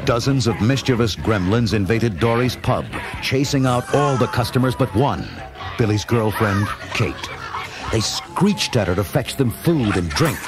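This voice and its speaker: A man's voice: mid-range voice, animated, measured pace. A man narrating a children's read-along adventure in a dramatic, theatrical scripted style. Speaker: story narrator. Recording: loud music and effects behind narration.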